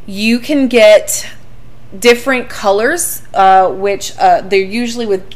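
Woman podcast co-host, casual and animated, quick to laugh. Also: medium pitch. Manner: animated, laughs often, emphatic about safety.

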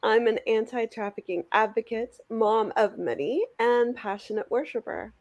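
A woman's voice: a little high, moderate pace, lo-fi sound. A woman podcast co-host reading sponsor notes and introductions in an upbeat, welcoming way. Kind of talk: scripted podcast intro and hosting. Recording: music bed under the voice.

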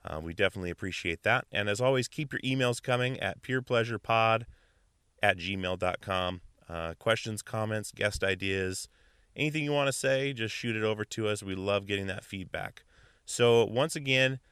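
A man hosting a podcast in a relaxed, casual way, with lots of fillers and restarts. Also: on the low side, moderate pace, smooth, no echo, studio quality. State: hoarse after stomach flu. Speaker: podcast host. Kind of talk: casual podcast intro and interview.

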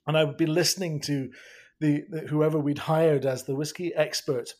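A man's voice: a little high, level tone, normal speed, a little mellow. A Scottish man chatting as a podcast guest, laughing often and restarting his sentences. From Scotland. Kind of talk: casual podcast conversation.

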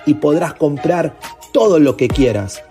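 A man's voice: fairly high pitch, animated, unhurried, warm tone. A man singing a promotional ad jingle over a beat. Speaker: ad announcer. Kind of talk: sung advertising jingle. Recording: jingle over hip hop music.

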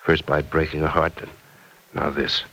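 An actor playing an earnest, guilt-ridden young man in an old-time radio drama, speaking haltingly and blaming himself. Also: very deep voice, flat delivery, fairly bright tone, slightly rough, heavily acted, low-quality recording. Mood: remorseful. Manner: earnest, halting, self-reproaching. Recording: vintage radio recording.

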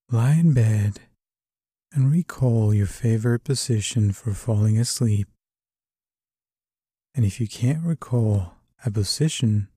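A man narrating a guided sleep meditation in a calm, unhurried, soothing manner, giving gentle instructions. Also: fairly low pitch, husky, dry recording, clean studio sound.

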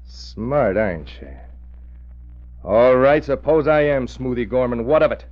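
An actor playing a cocky, scheming bank robber gang boss in an old-time radio drama, curt, tough-talking and sneering. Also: male voice, mid-range voice, measured pace, natural voice. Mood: defiant. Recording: muffled, noisy old radio recording.

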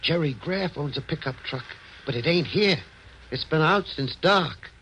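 A man's voice: fairly high pitch, animated, a little slow, a bit bright. A man playing a sly, short street-corner informant, cagey and slangy with an American accent, in a 1940s radio noir drama. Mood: confiding, wary. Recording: muffled, noisy vintage radio recording.

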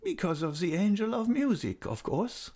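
A man's voice: high-pitched, huge pitch swings, moderate pace, a little mellow, voice pushed up, full character voice. A man voicing a cheerful, childlike old woman who is frail and bedridden, talking gaily and coaxingly.

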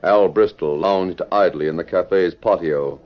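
A man's voice: deep voice, unhurried. A man narrating a vintage radio western from a script in a formal, dramatic storytelling manner. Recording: muffled old radio recording. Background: music.

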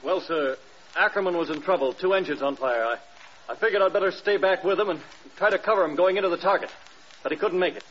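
Quick, high-voiced young American pilot. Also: male voice, a bit bright. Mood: nervous, defensive. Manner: respectful, halting. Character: young pilot, loyal to his friend, defensive before superior. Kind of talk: classic American radio drama acting. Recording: dull, hissy old radio recording.

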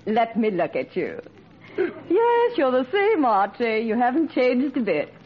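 A grand, pretentious matron from 1940s radio comedy. She gushes in an affected, high and very animated voice, on a muffled old recording with faint audience noise. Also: a little slow. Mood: gushing. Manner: gushing, highbrow, affected.

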